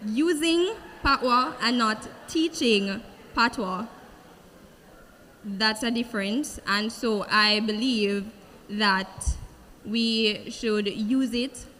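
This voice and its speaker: A young Jamaican woman, a pageant contestant, giving a slow, earnest answer on stage. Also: on the high side, pushed and bright, slight background noise. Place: large event hall. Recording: long hall reverb from a stage mic.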